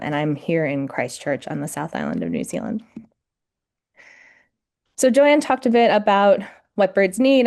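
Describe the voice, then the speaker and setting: A woman's voice: lowish voice, darkish tone. A woman from New York City giving an informal academic webinar lecture over a video call, with frequent fillers. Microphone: computer mic on a video call.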